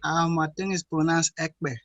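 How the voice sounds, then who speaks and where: A man's voice: on the high side, little pitch movement, normal speed, fairly bright tone. A young man from Nigeria, a student introducing himself on a video call, hesitant and apologetic with many fillers. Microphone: computer mic on video call.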